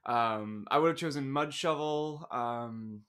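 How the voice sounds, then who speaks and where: A man's voice: mid-range voice, slow pace, fairly bright tone. A man chatting casually and self-deprecatingly as a podcast co-host. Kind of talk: casual banter. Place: small home studio room.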